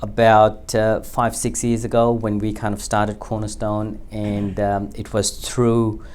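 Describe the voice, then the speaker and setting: Friendly male interview host, lowish voice. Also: monotone, a little slow, darkish tone. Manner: friendly, respectful, chatty, calls guest uncle. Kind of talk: casual interview.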